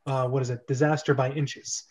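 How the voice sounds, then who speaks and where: A man hosting a casual, joking amateur script reading, halting and often correcting himself. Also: medium pitch, flat delivery, darkish tone. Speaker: host and script reader.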